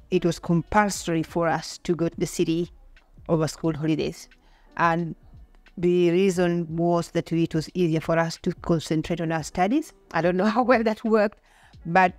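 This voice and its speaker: A woman's voice: on the low side, darkish tone, no echo. A middle-aged woman with a Kenyan accent talking about her own life in a conversational interview. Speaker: podcast guest.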